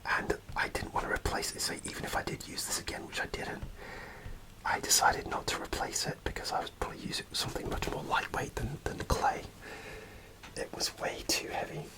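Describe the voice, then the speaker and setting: A man telling a story in a soft, rambling ASMR whisper with many pauses and hesitations, recorded close to the microphone. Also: rough recording. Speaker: ASMR creator.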